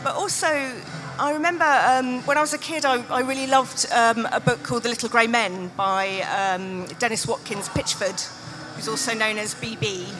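A woman's voice: fairly high pitch, steady tone, normal speed, hardly any pauses, rough recording. A British woman, a nature writer, talking informally in a live on-stage conversation. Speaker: nature writer and podcast host. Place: festival stage.

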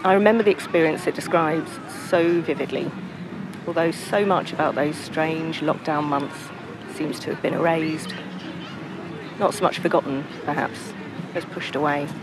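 A woman's voice: deep voice, fairly even intonation, moderate pace, noisy background. A British woman, a podcast host, giving a scripted, reflective introduction to a reading.

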